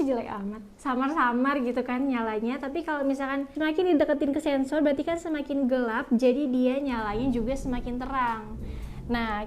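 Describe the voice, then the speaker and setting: A young woman with a high voice presents a product review in a casual, chatty way. She talks straight to her viewers in informal language. Clear background noise sits behind her voice. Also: darkish tone. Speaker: product review video host.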